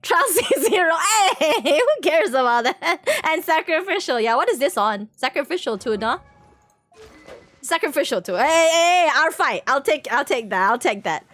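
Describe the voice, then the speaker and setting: A woman giving casual live commentary on a video game as a streamer, excitable and giggly, often repeating phrases rapidly. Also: high voice, dry recording.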